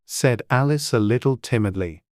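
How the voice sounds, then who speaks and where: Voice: medium pitch, normal speed, dry recording. Dry, close storybook narrator. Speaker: audiobook narrator.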